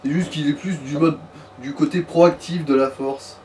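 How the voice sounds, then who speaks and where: A man's voice: fairly high pitch, steady tone, moderate pace, dark tone. A man chatting casually as a co-commentator, explaining things in colloquial, informal speech. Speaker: let's play co-commentator. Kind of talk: casual chat. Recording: distant mic, faint room noise.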